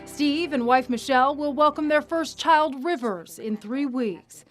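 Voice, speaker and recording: A woman reporter narrates a news feature in a polished, measured way. Her voice sits high for a woman. Soft music plays faintly underneath her.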